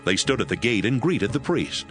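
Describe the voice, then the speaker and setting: A man narrating scripture in a steady, measured way. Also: mid-range voice, music in the background. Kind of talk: scripted audio Bible narration.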